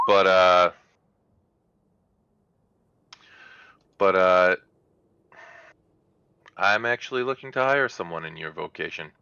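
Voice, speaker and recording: A man voicing a hospitable, harried small-town mother in a tabletop game, candid, with halting, conversational phrasing. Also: sits low, bright, forceful tone, clean tone, no room sound, highs cut off, exaggerated voice. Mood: apologetic, businesslike.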